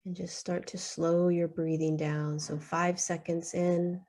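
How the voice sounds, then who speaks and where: A woman leading a guided meditation in a soothing, unhurried way, leaving long pauses between short phrases. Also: lowish voice, flat delivery, dark tone.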